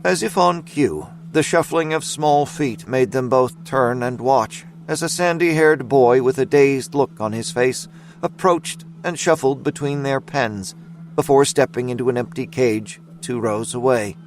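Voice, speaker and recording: A man's voice: medium pitch, measured pace. A man narrating scripted fiction as a storyteller in an audio drama. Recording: faint music under narration.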